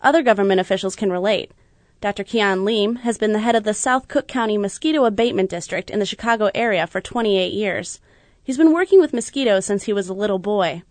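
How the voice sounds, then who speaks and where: A woman radio reporter narrating a scripted news feature in a measured, even delivery. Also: mid-range voice, no room sound.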